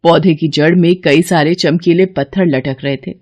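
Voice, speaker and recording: A woman giving expressive scripted narration of a children's moral story. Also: deep voice, normal speed.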